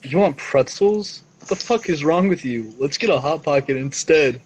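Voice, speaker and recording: A man's voice: a little high, expressive, normal speed, a little mellow, breathy edge, poor audio. A man playing a tabletop game, chatting casually and wisecracking. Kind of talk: casual joking game chat.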